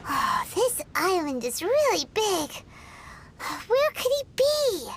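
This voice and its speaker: A woman voicing a tiny, excitable fairy companion in a video game, with a squeaky childlike voice and a blunt, exclamatory manner. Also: takes it slowly, slight background noise, exaggerated voice. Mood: excited. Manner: refers to self in third person, exclamatory. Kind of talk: video game cutscene acting. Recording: music playing behind the voice.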